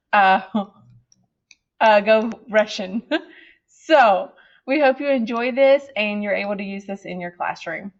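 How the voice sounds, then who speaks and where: Southern lady teacher giving a quick intro, laughs a lot, kinda muffled sound. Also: medium pitch. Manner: friendly, laughing between phrases. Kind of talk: casual introduction.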